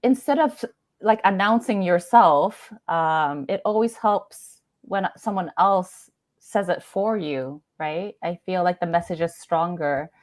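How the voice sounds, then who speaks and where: A woman's voice: fairly low pitch, takes it slowly, no room sound. A woman from Canada, a guest in a casual conversation, talking freely with lots of fillers and frequent laughter. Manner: chatty, laughs often, many fillers like "like" and "you know".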